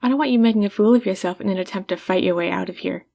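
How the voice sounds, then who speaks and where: A woman acts out a haughty villainess with a mid-pitched voice. She speaks intimately to the listener, teasing and talking down, with a secretly caring streak. It is an ASMR roleplay performance. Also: rapid-fire, gravelly, mellow. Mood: scolding, exasperated.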